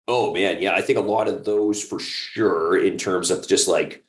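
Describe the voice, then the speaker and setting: Very deep-voiced man, echoey room. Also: fairly even intonation, measured pace. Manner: rambling, enthusiastic, peppered with "like" and "you know". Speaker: audio drama creator and producer, guest. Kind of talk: casual interview chat.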